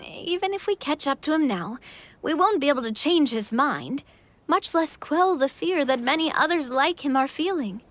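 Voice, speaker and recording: A high, bright young queen from a fairytale game. She is kindly and confident, with an upbeat, animated lilt, recorded close and dry. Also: moderate pace, no room sound. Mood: calm resolve. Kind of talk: English game voice acting.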